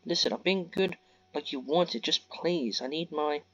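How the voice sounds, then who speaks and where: A meek young man pleads quietly for what he needs, voiced by a man a little above his own pitch. The recording is dry and dull, with faint guitar behind. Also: a little slow, no room sound. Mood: pleading. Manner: meek, begging.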